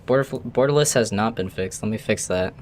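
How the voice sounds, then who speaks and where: A man's voice: lowish voice, brisk, a little hiss or hum. Chatty streamer man rambling mid-game. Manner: chatty, rambling, answers chat, swears. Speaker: gaming streamer. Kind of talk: casual live-stream commentary while playing.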